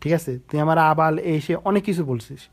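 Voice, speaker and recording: A man's voice: a little high, normal speed. A young man on a heated phone call, accusing and lecturing the other side. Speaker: caller.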